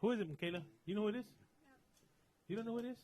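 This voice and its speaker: A man hosting an internet radio show, chatting casually and loudly with studio guests. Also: high-pitched, racing. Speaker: radio host.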